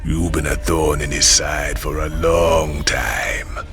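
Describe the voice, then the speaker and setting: An actor playing an ominous, knowing mentor in a video game, speaking in a grave, measured way. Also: male voice, a bass voice, little pitch movement, raised voice, over music, exaggerated voice. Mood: ominous, warning. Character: ominous, cautioning male mentor, calm and knowing. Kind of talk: dramatic video game acting.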